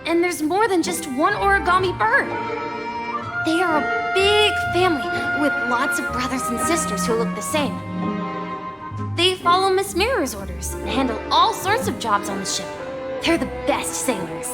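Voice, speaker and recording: A young boy with a high, very lively voice. He is earnest and a little wistful, a game character dreaming of adventure, with clear music behind him. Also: moderate pace. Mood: eager, proud. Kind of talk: video game voice acting. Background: background music.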